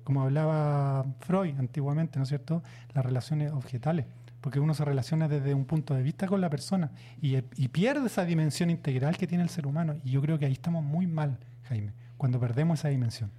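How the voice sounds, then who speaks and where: A man, a psychologist guest explaining calmly on radio, with many hesitant fillers. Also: a little high. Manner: measured, many "eh" fillers and "¿no es cierto?" tags.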